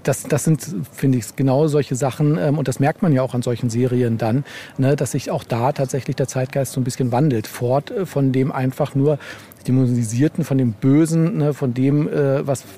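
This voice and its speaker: A man's voice: medium pitch, fairly even intonation, moderate pace, warm tone. A man talking casually as an interviewed author, searching for words and often adding tag questions. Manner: hesitant, searching delivery with frequent tag questions.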